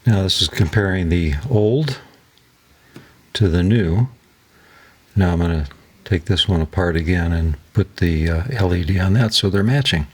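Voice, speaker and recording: A man narrating a hands-on tutorial as host, talking haltingly as he thinks aloud while he works. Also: low voice, slightly rough. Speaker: host of a DIY electronics repair channel.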